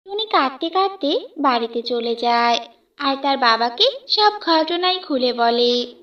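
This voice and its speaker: A woman's voice: high-pitched, expressive, unhurried, distant mic, muffled recording. A woman narrating a scripted children's cartoon story.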